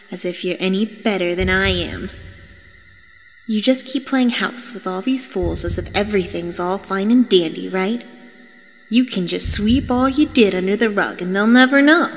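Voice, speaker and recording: A woman voicing a sinister, mocking demonic child in an animated comic dub, taunting with drawn-out menace. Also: medium pitch, close to an everyday voice. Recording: long reverb over music.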